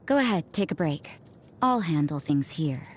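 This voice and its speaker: A woman voicing an aloof, cynical young nun in a video game, with a cool, languid, deadpan delivery. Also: deep voice, moderate pace, slight background noise. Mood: languid, dry. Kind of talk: scripted game voice lines. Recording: music behind the voice.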